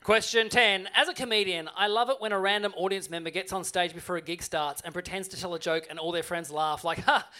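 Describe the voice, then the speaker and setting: High, bright-voiced male comedy quiz host. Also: brisk, few pauses. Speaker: comedy panel show host and quizmaster. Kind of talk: live comedy panel hosting, reading quiz questions. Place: live venue stage with audience. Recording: live comedy show before an audience.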